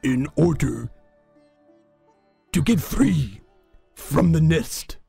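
A man voicing a wise, noble king of doves, declaiming slowly and grandly in a storybook reading. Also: on the high side, lively intonation, creaky, warm tone, slightly rough, pitched below the performer's own voice, heavily acted. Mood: commanding, rallying. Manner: slow, grand, theatrical declaiming. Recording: long reverb with music behind.

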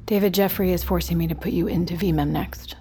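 Low-voiced, desperate dying woman scientist played by an actress. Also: steady tone, mellow, natural voice. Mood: grave, confessional. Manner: halting, hesitant delivery. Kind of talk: naturalistic audio drama acting.

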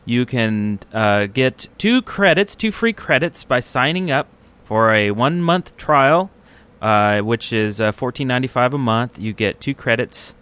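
Clear-voiced man hosting a casual podcast. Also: medium pitch, lively intonation, a little slow, fairly bright tone. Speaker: podcast host.

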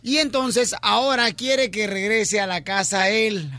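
A man hosting a morning radio show, playful, loud and teasing with callers. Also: sits high, fairly even intonation, a little slow, heavy background noise.

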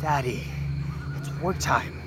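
Exasperated, high-voiced miner son. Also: male voice, takes it slowly, background noise. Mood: pleading. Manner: blunt, colloquial, pleading. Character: exasperated miner son, blunt, worried, practical.

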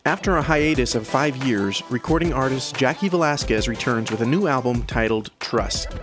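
A man reads scripted news as the host of an audio newsletter. His voice sits at a medium pitch, and music plays clearly underneath him. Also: normal speed. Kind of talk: scripted narration. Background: background music.

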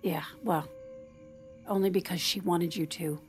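A woman's voice: lowish voice, steady tone, unhurried, a little mellow, faint music behind, natural voice. An actress playing a hurt, guarded wife and mother in a naturalistic audio drama. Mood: anxious, upset.

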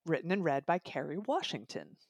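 A woman's voice: sits low, big pitch swings, fairly quick, gravelly, darkish tone, no room sound, clean studio sound. A woman giving a conversational book review as part of a discussion. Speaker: audiobook reviewer and podcast guest.

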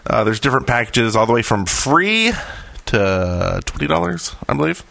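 A man's voice: medium pitch, very animated, moderate pace, gravelly, a bit bright, dry recording. A man hosting a gaming podcast in a casual, chatty style. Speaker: podcast host.

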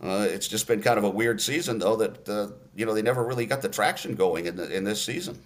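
Older male play-by-play broadcaster, low-pitched, chatty storyteller in an interview. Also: expressive, quick pace. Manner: storytelling, many fillers and restarts. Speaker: radio play-by-play announcer. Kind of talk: relaxed interview recollection.

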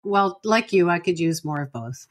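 A woman's voice: lowish voice, animated, moderate pace, noisy background. A middle-aged woman co-hosting a podcast, chatting casually and teasing playfully. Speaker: podcast co-host. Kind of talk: casual chat.

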